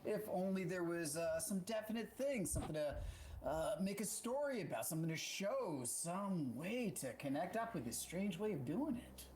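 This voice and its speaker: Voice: mid-range voice, lively intonation, normal speed, close to an everyday voice. An actor playing a condescending, self-assured male county attorney in a stage play. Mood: frustrated, businesslike. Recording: noisy amateur recording.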